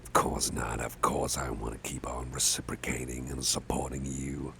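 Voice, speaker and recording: A man playing a big, gruff but caring boss in an ASMR roleplay, murmuring gently with a gravelly, often breathy voice and soft hesitations. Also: rumbling low, little pitch movement, normal speed, noisy background. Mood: affectionate. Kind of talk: ASMR personal-attention roleplay.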